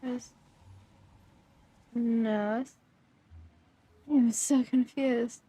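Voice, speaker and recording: A young woman streaming a game, talking slowly and unhurriedly in a fairly high voice. She thinks aloud as she plays, hesitant and full of fillers, often trailing off mid-phrase. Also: fairly even intonation, mellow, dry recording. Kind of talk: casual gameplay commentary.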